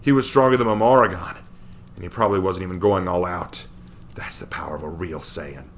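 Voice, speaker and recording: A man's voice: low voice, a little fast. A man acts out an anguished young Saiyan hero from an action story. The delivery is intense and frustrated, full of self-blame, with faint noise in the background. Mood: defeated, defensive.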